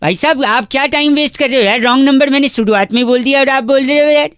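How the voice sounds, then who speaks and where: A man making radio prank phone calls, teasing and provoking the person on the line with mock-innocent calm. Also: very high-pitched, fast talker, dry recording, clean studio sound.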